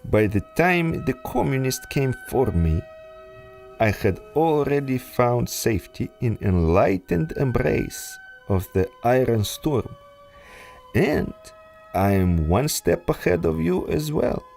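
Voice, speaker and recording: Brief: actor doing a smooth mastermind villain, unhurried, theatrical swoops in pitch, soft music under. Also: male voice, very animated, heavily acted. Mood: silky menace. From Russia. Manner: smooth, silky, menacing, slow and deliberate. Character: suave treacherous male scientist villain, exiled aristocrat. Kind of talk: pulp adventure audio drama.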